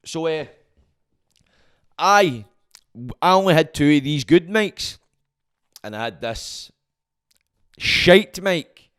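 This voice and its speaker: A Glaswegian man hosting his own podcast, rambling and swearing freely in a loud, booming voice. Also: animated, takes it slowly, pauses often, clean tone. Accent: Scottish, Glaswegian. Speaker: podcast host. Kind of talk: casual monologue.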